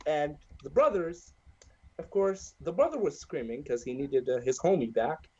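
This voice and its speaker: A man's voice: on the high side, lively intonation, moderate pace, darkish tone, husky. A man telling crude stories and teasing his friends in a rowdy casual chat. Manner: crude, joking, storytelling. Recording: dull lo-fi mic.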